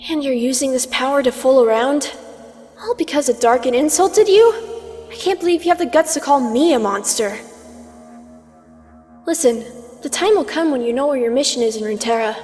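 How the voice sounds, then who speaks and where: A woman voices an immortal aspect of Twilight with a high, theatrical voice. She lectures like a stern mentor, teasing and condescending, in a fantasy comic dub. Long reverb surrounds her, and music plays behind. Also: lively intonation, normal speed, long pauses, darkish tone. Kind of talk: scripted fan comic dub acting.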